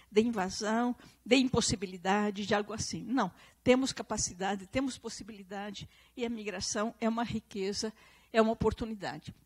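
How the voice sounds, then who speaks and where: A woman from southern Brazil with an Italian-descendant regional accent, giving an earnest public lecture to a live audience and online viewers, often repeating and restarting phrases for emphasis. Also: on the high side.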